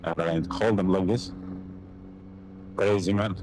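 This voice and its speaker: A man's voice: low-pitched, steady tone, unhurried, noisy background. A young man from Montenegro with a Montenegrin accent, rambling and swearing casually as he rides a motorcycle, talking into a helmet mic. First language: Serbian. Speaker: motovlogger. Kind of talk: casual riding commentary. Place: riding a motorcycle in city traffic.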